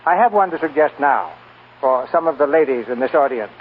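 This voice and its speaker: A man's voice: medium pitch, animated, brisk, a little hiss or hum. Formal 1940s radio host, tinny male voice. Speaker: radio theater host and narrator. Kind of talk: 1940s radio hosting, scripted narration.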